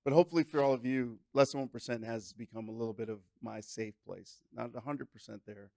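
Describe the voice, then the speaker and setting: A man's voice: medium pitch, quick pace, creaky, no room sound. Man, podcast host, affirming, casual interview talk, dry sound. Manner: warm, affirming listener with short replies.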